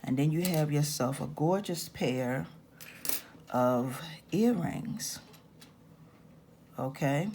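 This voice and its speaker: Slow-talking raspy woman teaching crafts. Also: sits low, mellow, a little hiss or hum. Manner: slow, patient step-by-step instructions. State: raspy-voiced this morning. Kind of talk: hands-on craft tutorial.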